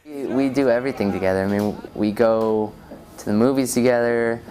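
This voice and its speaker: A man's voice: medium pitch, fairly even intonation, brisk, dark tone. A young man speaking casually as a youth theatre member in a documentary interview.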